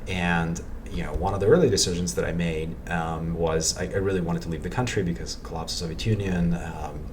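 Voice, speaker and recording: Low-voiced man, distant with noisy background. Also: fairly even intonation, brisk. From Ukraine. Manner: reflective, thinks aloud, repeats words while searching for them. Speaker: guest, meditation practitioner. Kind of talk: casual podcast conversation. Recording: distant mic with clear background noise.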